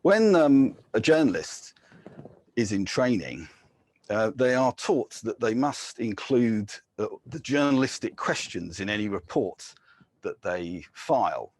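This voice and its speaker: Husky-voiced man reading poetry aloud. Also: on the low side, big pitch swings, a little mellow. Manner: measured, deliberate reading with brief casual asides. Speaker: poet. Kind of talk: poetry reading with spoken introductions.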